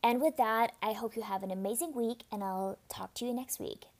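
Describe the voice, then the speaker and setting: High-voiced woman with slight vocal fry. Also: a little fast. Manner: casual, chatty, candid, with fillers and restarts. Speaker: podcast host. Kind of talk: solo podcast monologue.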